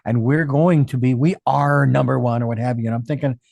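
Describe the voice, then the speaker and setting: A man's voice: mid-range voice, steady tone, brisk, gravelly, a little mellow, no room sound. A man hosting an interview, asking long, rambling questions that he works out as he talks. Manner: rambling, musing questions with restarts. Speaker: interview host. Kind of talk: conversational interview.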